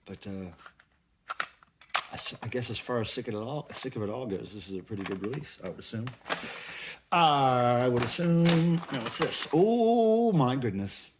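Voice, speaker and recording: A man's voice: mid-range voice, expressive, moderate pace, background noise. A middle-aged man chatting casually as a vlog host, musing aloud in a rambling way with folksy, playful slang. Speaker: video channel host. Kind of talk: casual haul vlog chat.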